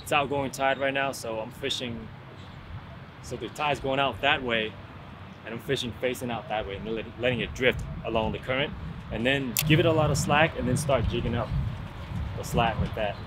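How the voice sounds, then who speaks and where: Excited young man fishing on a pier. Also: mid-range voice, moderate pace, noisy background. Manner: excited, chatty, calls viewers "man" and "guys". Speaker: fishing vlogger. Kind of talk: casual vlog narration while fishing. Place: outdoor fishing pier by the sea. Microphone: camera-mounted shotgun mic.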